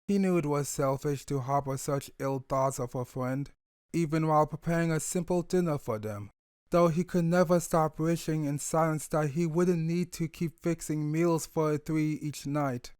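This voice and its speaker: A man narrating a scripted romantic audio story in a measured storytelling manner. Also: on the high side, darkish tone, smooth, no room sound, studio quality.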